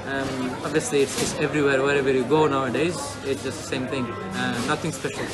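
Hesitant man, loud music behind. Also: medium pitch, flat delivery, fairly quick, poor audio. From Bangladesh. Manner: hesitant, many fillers and restarts. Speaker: interviewee, client. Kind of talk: casual interview answers. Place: indoor room with brick wall, seated on sofa.

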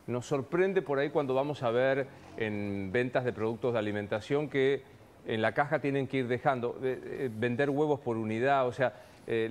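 A middle-pitched Argentine man in his fifties hosts a live TV segment from a studio. He chats easily and jokingly, firing off questions, with a faint room ambience underneath. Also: slight background noise. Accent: Rioplatense Spanish. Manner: chatty, joking, animated questions. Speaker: TV host. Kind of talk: casual live TV chat.

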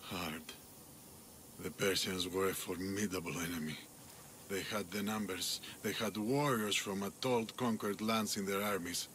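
An actor playing an older male arena master and veteran warrior, speaking in a grave, measured, world-weary way. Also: low voice, fairly bright tone, a little hiss or hum. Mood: reflective.

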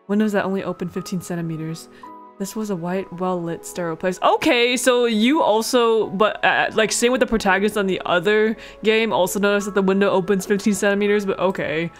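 A woman doing a let's play, reading visual novel narration aloud with casual asides and the odd stumble. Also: fairly low pitch. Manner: stumbles over words and corrects herself while reading. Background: game music.

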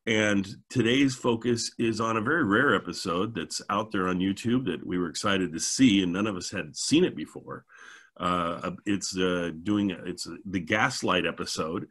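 A middle-aged man with a husky voice, hosting a casual podcast discussion. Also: deep voice, normal speed, fairly bright tone. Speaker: podcast host. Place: indoors, on video call.

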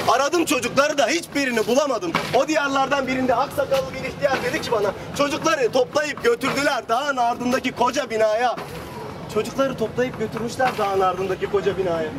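A man telling a fairy tale as street theatre, in a declamatory way with dramatic pauses and repetitions. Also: very high voice, background noise. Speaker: storyteller, street theatre performer. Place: outdoors on a city street. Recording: distant outdoor street recording under loud music.

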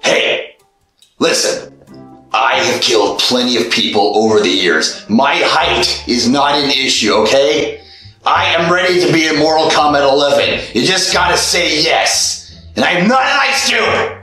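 Man as a tiny killer doll, high-pitched and sharp, shouting indignantly; echoey room, faint music. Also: lively intonation, a little slow. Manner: indignant, shouting, easily insulted. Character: tiny foul-mouthed male killer doll, hot-tempered.

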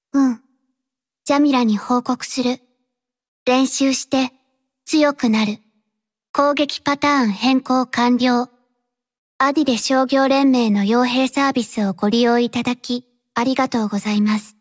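A reserved young mercenary girl from an anime-style game, with a high, light voice. She speaks slowly in short, halting phrases. Her delivery is quiet, flat and earnest, dutiful to the end. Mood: businesslike, calm. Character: reserved, dutiful young female mercenary robot-arm mechanic. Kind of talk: Japanese anime-style mobile game voice lines.

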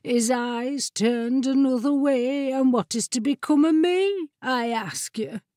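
A woman's voice: on the high side, a little mellow, breathy edge, dry recording, studio quality, heavily acted. A woman voicing a lugubrious, self-important talking armchair in a storybook, drawing out its sleepy complaints. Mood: mournful. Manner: lugubrious, slow, drawn-out complaint.

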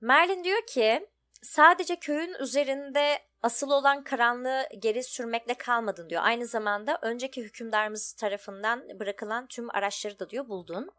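A woman's voice: a little high, a bit bright. A woman giving casual, chatty let's play commentary, thinking aloud as she goes. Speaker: gaming video host.

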